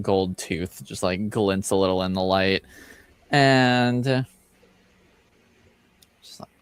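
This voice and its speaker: A man narrating a tabletop roleplaying game as game master in a halting, casual, improvised way. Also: lowish voice, clean tone, no room sound. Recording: music behind the voice.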